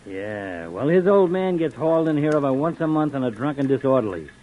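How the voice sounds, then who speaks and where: An actor playing a gruff, gray-haired veteran police desk sergeant in an old radio drama. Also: male voice, medium pitch, expressive, fairly quick, phone-quality sound, natural voice. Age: older. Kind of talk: 1950s radio drama acting. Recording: old radio recording with faint hiss.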